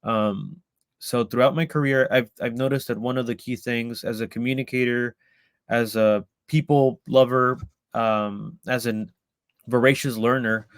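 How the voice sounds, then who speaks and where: A man's voice: medium pitch, fairly even intonation, a little slow, clear, resonant tone, no room sound. A man from El Salvador giving a live online presentation about a community he founded, hesitant with many ums and restarts.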